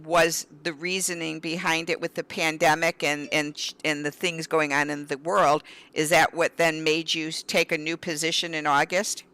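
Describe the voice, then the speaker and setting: A woman's voice: deep voice, level tone, normal speed, hardly any pauses, pushed and bright. A woman hosting an interview, asking questions and often restarting her sentences. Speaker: interview host. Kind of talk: casual interview.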